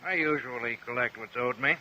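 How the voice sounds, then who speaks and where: An actor playing a guarded, evasive gambler on the witness stand in a radio courtroom drama. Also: male voice, medium pitch, fairly quick, pushed and bright, muffled recording. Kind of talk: old-time radio courtroom drama acting.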